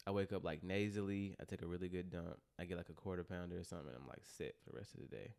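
A man's voice: deep voice, steady tone, very fast, gravelly, darkish tone. A young man hosting a podcast in casual chat, a little stuffy and nasal, joking and slangy. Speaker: podcast host.

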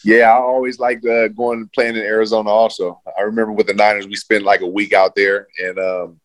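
A man with a very low voice talks about his football days in a loose, easygoing way. He leans on fillers as he goes. The recording is dry and close. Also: level tone, fairly quick, fairly bright tone, no echo. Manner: laid-back, loose, many fillers. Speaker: former pro football player, guest. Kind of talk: casual interview chat.

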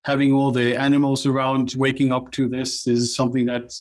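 Halting Norwegian-accented man. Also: mid-range voice, monotone, a little mellow. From Norway. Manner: halting, many restarts and fillers. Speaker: guest, company cofounder. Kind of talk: casual interview chat.

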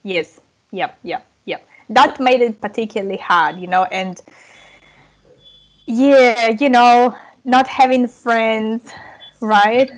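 A woman, a language coach talking as a podcast guest in a relaxed, chatty way with frequent laughs and fillers. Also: a little high, slow pace, long pauses, low-quality recording. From Ukraine. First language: Russian and Ukrainian. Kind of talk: casual interview chat.

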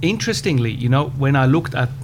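A man of about fifty with a Swiss German accent, answering interview questions in a measured way with frequent pauses. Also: mid-range voice, expressive. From Switzerland. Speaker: podcast guest, business owner. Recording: background hum.

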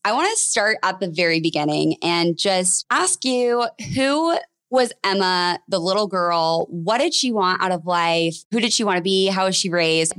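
A woman in her twenties hosting a podcast, asking eager, drawn-out questions. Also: fairly low pitch, a bit bright, no room sound. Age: 20s. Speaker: podcast host. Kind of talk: podcast interviewing.

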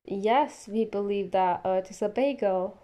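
A woman's voice: medium pitch, measured pace, warm tone. A young woman whose first language is not English, a player on a role-playing podcast, speaking hesitantly with many fillers and giggles. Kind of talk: casual chat.

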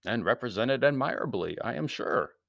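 A man voicing an urbane, gently mocking gentleman adventurer in a story reading, polite and dryly ironic. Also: medium pitch, animated, moderate pace, gravelly, dry recording, voice pushed up. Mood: suave, mock-polite.

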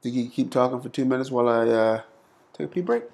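Host guy, mid-pitch, gravelly, laid back, says yeah a lot. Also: fast talker, a little mellow. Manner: laid-back, short interjections and plain talk. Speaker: podcast host. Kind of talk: casual chat.

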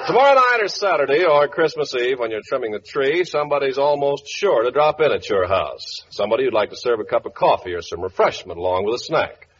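A man's voice: medium pitch, big pitch swings, brisk, hardly any pauses, a bit bright. An American man reading a commercial as a 1940s radio announcer. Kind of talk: 1940s radio advertisement read. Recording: faintly noisy, dull 1940s radio recording.

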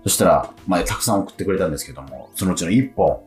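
A man's voice: low voice, expressive, rapid-fire, darkish tone, faint music behind. A man hosting a radio show in casual chat, with frequent eager interjections. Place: radio studio.

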